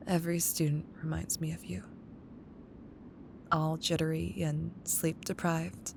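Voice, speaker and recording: A woman playing a caring, wistful young childhood best friend in an intimate, gently teasing ASMR roleplay. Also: flat delivery, creaky, full character voice. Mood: fond teasing. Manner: tender, unhurried, teasing. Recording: audible low rumbling background noise.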